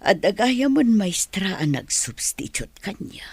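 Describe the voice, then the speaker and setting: A woman's voice: mid-range voice, very animated, measured pace, a little mellow, breathy edge, exaggerated voice. A woman playing a gracious, ailing older teacher in an Ilocano radio drama.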